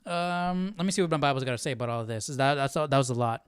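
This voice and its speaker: A man's voice: mid-range voice, quick pace, clear, resonant tone, dry recording, clean studio sound. A man hosting a livestream Bible study, talking informally and thinking aloud. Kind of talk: casual livestream chat and study commentary.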